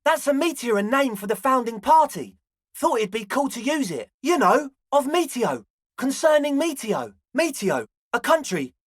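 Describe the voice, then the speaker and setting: Game role: earnest twenty-year-old club kid, eager and a bit grandiose, very animated delivery, close dry sound. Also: male voice, very high-pitched, moderate pace, no room sound, heavily acted. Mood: eager, excited, then explaining. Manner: slacker slang mixed with grandiose phrasing. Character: balding twenty-year-old raver dreamer, eager, earnest, slightly pompous. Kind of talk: video game character dialogue.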